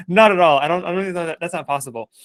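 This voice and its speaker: A man's voice: high voice, fast talker, fairly bright tone, no echo, clean studio sound. A man hosting a casual interview in an informal, enthusiastic way. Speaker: interview host.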